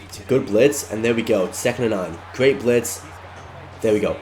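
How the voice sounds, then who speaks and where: A congested man narrating his own video game match live, excitable and shouting at close plays. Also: fairly low pitch, moderate pace, faint music behind. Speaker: gaming YouTuber. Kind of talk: live gameplay commentary.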